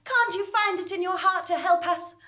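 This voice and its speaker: A hopeful frontier townswoman, played by a stage actress, with an extremely high voice. She is earnest and pleading, in the manner of a comic western melodrama. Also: normal speed, pushed and bright. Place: theatre stage in front of an audience.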